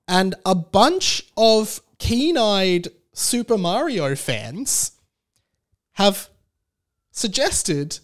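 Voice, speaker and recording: A man hosting a casual comedic solo podcast, rambling with stammered restarts and animated, incredulous emphasis. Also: high voice. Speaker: podcast host.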